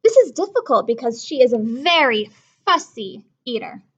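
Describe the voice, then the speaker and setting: High, lively woman teacher. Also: normal speed, muffled recording. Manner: simple explanations, repeats for emphasis. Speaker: teacher reading aloud to children. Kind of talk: children's read-aloud lesson, explaining vocabulary.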